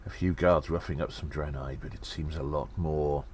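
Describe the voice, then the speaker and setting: A man playing a self-important, cheeky gnome undercover agent, delivering a deliberate and theatrical audio drama monologue. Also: extremely low pitch, steady tone, a little hiss or hum. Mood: grave, concerned.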